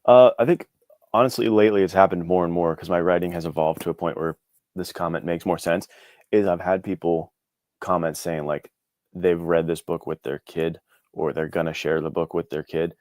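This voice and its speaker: Male interview guest, very deep voice. Earnest, encouraging, reflective and conversational, with a dry sound. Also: fairly even intonation, moderate pace, darkish tone, no room sound. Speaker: interview guest, indie author. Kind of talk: casual chat, reflective answers.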